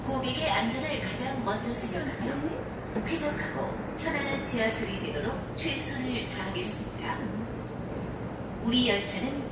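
A woman reading a scripted subway announcement as train announcer in a formal, measured way, heard through speakers inside a subway car. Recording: echoey, distant, loud train noise. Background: loud subway train rumble.

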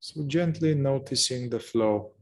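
A man leading a guided meditation, speaking gently in short phrases with long pauses between them. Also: medium pitch. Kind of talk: slow guided meditation narration.

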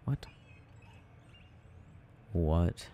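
A man murmuring haltingly to himself in an ASMR style as he rambles while playing a video game. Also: mellow, a little hiss or hum. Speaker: audio performer playing a boyfriend gaming.

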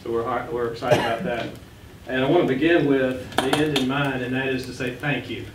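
A man aged sixty giving an informal presentation to a board meeting, speaking haltingly and often correcting himself. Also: medium pitch, steady tone. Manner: halting, frequent self-corrections. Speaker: education board member presenting. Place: indoor meeting room. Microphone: standing back from podium gooseneck microphone. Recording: echoey room, distant mic, faint noise.

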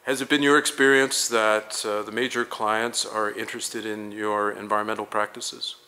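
A man interviewing a guest for a promotional video, asking polished, leading questions at a measured pace. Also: lowish voice, flat delivery, pushed and bright.

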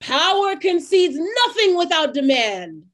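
A woman plays an earnest activist speaker in a stage drama. Her voice is high, and she speaks as if addressing a gathering. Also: sing-song, measured pace, fairly bright tone, exaggerated voice. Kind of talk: staged play reading.

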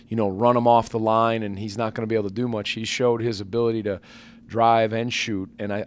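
A man in his forties, a basketball head coach answering questions at a postgame press conference into a table microphone, measured and earnest with many fillers and restarts. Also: medium pitch. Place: press conference room with sponsor backdrop.

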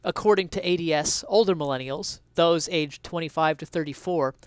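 Young male streamer, higher-voiced, theatrical and mock-indignant essay reading, dry close headset sound. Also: smooth, no echo. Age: young adult. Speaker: streamer reading an article aloud. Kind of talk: dramatic reading of an opinion essay. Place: small indoor room at home.

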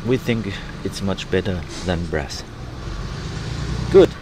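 Older male sailing vlogger, German accent, lowish voice, halting casual explanations, noisy boatyard recording. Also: expressive. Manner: halting, searching for words. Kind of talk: casual explanation of boat work.

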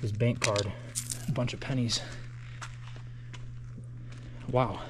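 A man exploring an abandoned building, giving low-key running commentary into a body-worn camera as he walks. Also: steady tone, takes it slowly, noisy background. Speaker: urban explorer. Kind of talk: walkthrough narration while exploring. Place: outdoors then inside a large empty building. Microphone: body-worn action camera.

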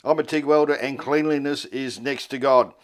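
A man's voice: mid-range voice, steady tone, normal speed. An Australian man presenting an informal how-to tutorial in a laid-back, colloquial way. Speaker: presenter of a four-wheel-drive repair tutorial.

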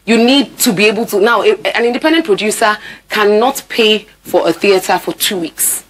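A woman's voice: mid-range voice, moderate pace. A Nigerian-accented woman in her thirties speaking as an interview guest, emphatic and repeating words to drive points home. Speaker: guest, actor and theatre producer. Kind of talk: broadcast interview conversation. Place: indoor TV studio. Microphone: lapel mic.

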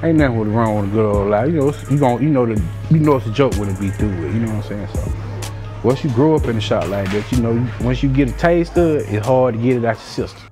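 Male, folksy casual talker, rapid pace, mid-pitched. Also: dark tone. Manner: folksy, repeats catchphrases. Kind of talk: casual interview chat. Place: indoors. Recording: faint music behind.

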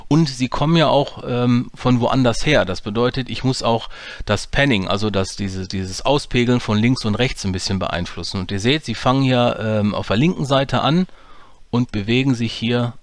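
A man walking through his dialogue editing in a relaxed, explanatory way, with many fillers. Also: medium pitch, moderate pace, dry recording. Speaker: audio drama producer teaching editing. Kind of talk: screen-recorded software tutorial.

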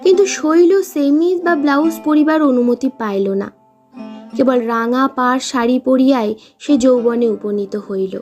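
A woman reading literary fiction aloud in a measured, expressive storytelling manner. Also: sits high, lo-fi sound, faint music behind. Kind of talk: scripted literary narration.

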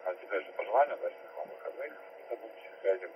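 A man's voice: sits low, expressive, fast. A man heard over a radio transmission, making casual amateur radio contact in polite, short choppy phrases. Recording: narrow-band noisy radio speaker playback.

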